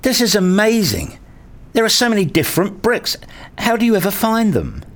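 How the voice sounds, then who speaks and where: A man's voice: high-pitched, wildly swooping pitch, normal speed. An actor playing an enthusiastic, curious grown man who narrates his visit in a scripted radio play. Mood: excited, amazed. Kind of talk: scripted first-person narration and acted dialogue.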